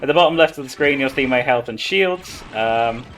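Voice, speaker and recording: A man's voice: mid-range voice, expressive, fairly quick, a bit bright, rough recording. Casual man commentating over game music. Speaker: speedrunner playing live at a gaming marathon.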